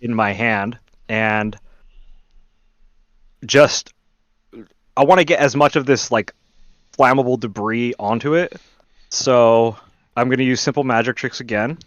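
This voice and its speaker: Guy player in a tabletop game, clear smooth voice, average pitch, casual, figuring out moves out loud, very dry mic. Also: measured pace, pauses often, no echo, muffled recording. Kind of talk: casual game chat, thinking aloud.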